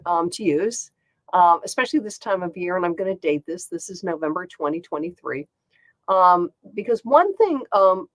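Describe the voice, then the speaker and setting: A woman's voice: medium pitch, dry recording, poor audio. A woman with a congested voice hosting a casual chat, talking in a chatty way with frequent ums. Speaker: host.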